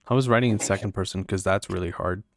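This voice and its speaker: A young man with a fairly low voice hosts a casual online podcast. He talks loosely and fills his sentences with "like" and "um," and he is quick to laugh. The sound is dry and close, with almost no room around it. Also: no room sound. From Canada. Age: young adult. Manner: relaxed, filler-heavy, laughs easily. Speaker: podcast host. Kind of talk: casual chat.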